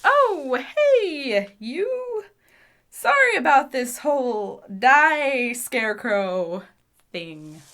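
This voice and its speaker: A woman playing a scrappy young wanderer who acts tough but is soft-hearted, talking casually in an audio drama. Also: sits high, sing-song, extremely slow, exaggerated voice. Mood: sheepish.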